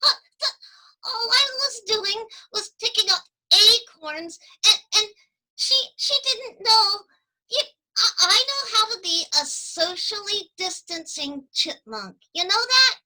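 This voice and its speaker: A performer voicing an excitable, flustered chipmunk puppet who stammers and talks loudly on a children's show. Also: extremely high pitch, takes it slowly, heavily acted, rough recording. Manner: stammering, loud and jittery.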